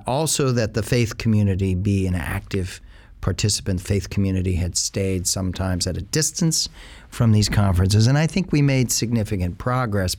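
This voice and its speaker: Middle-aged guy interviewing, lowish voice, long winding questions with lots of uh. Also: a little mellow. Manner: long, rambling questions with fillers.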